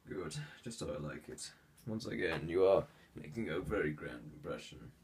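A man's voice: rumbling low, lively intonation, normal speed, a little mellow, far from the mic. A man voicing a haughty, sick young heir in an ASMR roleplay, formal and hesitant with fillers, stuffy with a cold and sneezing.

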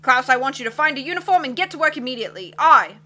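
A woman voicing a loud, hasty male submarine captain who cries out his lines and rambles, reading a storybook aloud. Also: sits high, animated, fast. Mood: hearty bluster. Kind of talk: read-aloud storybook acting.

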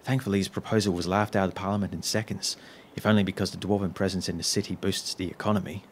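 An actor playing a level-headed, dryly opinionated companion in an audio drama, measured and wry. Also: male voice, low-pitched, fairly even intonation, close to an everyday voice. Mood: wry, cautioning. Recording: noisy, with background ambience.

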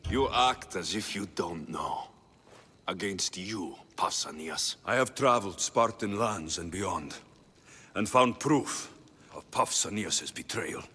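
A low-voiced, defiant Greek warrior. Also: male voice, animated, slow, sharp tone, slightly rough, full character voice. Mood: accusing. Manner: blunt, accusatory. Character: bold, defiant young male Spartan warrior. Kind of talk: video game cutscene acting. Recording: reverberant hall, some background noise.

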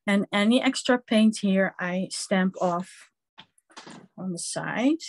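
A woman with a Dutch accent leads a live online craft class, speaking slowly and haltingly as she works. Her pitch sits at an ordinary middle level, and she often stops to fill the gaps with ums while her hands are busy at the table. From Netherlands. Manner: slow, halting, many ums, hands-on explaining. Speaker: craft instructor.

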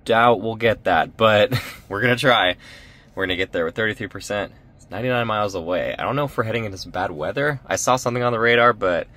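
Deep-voiced man vlogging from his car. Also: fast talker, bright, forceful tone. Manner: chatty, upbeat, talks to viewers as "guys". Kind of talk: casual road trip vlog. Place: inside a parked or moving car.

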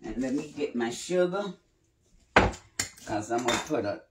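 An older woman, chatting in an unhurried way as she cooks in her home kitchen and explains each step. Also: a bass voice, lively intonation, very noisy. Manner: unhurried, chatty, talks while working with pauses and fillers. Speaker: home cooking channel host. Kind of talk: casual cooking demonstration. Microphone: phone camera a few feet away on the counter.

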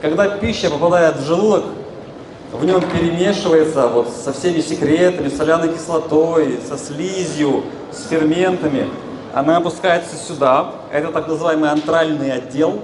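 A man with a slightly high voice lectures informally on health from a stage. He explains in colloquial language and addresses his listeners directly. The sound is echoey, with clear noise from the large exhibition hall around him. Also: moderate pace. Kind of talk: informal public lecture to an audience. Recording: echoey, noisy expo hall through a PA.

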